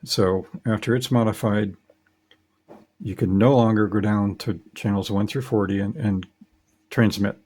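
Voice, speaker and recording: A man's voice: on the low side, steady tone, unhurried, darkish tone. A middle-aged man who hosts a ham radio hobby vlog, explaining things in a casual, matter-of-fact, conversational way. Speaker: host, amateur radio hobbyist.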